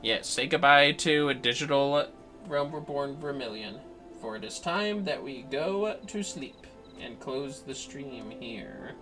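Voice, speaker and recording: A man hosts a casual gaming livestream. He talks in a low-key, half-muttered way to himself and his viewers, with faint music behind him. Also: expressive, takes it slowly, sharp tone. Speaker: game livestreamer.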